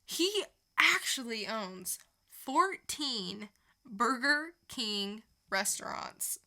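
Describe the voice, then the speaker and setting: A woman's voice: fairly high pitch, lively intonation, very slow, bright, forceful tone. A woman co-hosting a podcast in a casual, chatty quiz-banter style. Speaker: podcast co-host.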